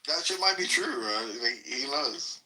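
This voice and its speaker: A man chatting casually in a slangy, halting, informal way. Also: medium pitch, expressive, bright, forceful tone, a little hiss or hum. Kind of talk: casual chat.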